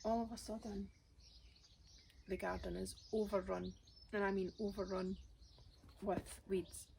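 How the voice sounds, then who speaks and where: A woman, her voice raspy today, chatting casually as she works in her outdoor garden with birdsong around her. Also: medium pitch, little pitch movement, a little slow, a little mellow, slight background noise. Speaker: gardening vlogger. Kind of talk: casual chat while gardening.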